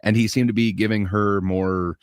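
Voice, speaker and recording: Low-voiced man on a casual panel. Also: fairly even intonation, normal speed, clear, resonant tone, dry recording, studio quality. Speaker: panelist on a livestream show. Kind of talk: casual panel chat about internet drama.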